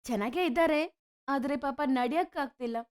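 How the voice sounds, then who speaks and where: A woman voicing a frank, warm-hearted young village daughter in a story. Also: high-pitched, normal speed, close to an everyday voice. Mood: grateful. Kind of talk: animated moral story dialogue.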